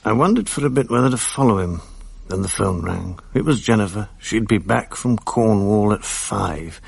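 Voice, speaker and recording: A man's voice: on the low side, lively intonation, normal speed, gravelly, husky, no echo, heavily acted. An actor playing an evasive middle-aged married man with a British accent in a naturalistic radio drama, speaking in an offhand, dryly understated way. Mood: confiding narration. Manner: offhand, hesitant, dry understatement. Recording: faint hiss on archive radio recording.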